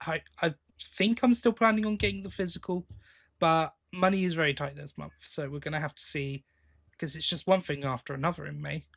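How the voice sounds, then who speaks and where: A man's voice: on the high side, creaky, fairly bright tone. A man co-hosting a podcast, chatting casually in a hesitant, rambling way with tag questions. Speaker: podcast co-host. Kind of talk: casual chat about audio drama releases.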